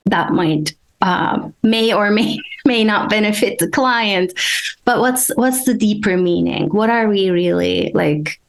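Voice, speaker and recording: A woman from Turkey, a guest in an interview, speaking hesitantly and thoughtfully with many pauses and ums. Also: mid-range voice, vocal fry. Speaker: guest, energy healer. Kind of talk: casual interview talk.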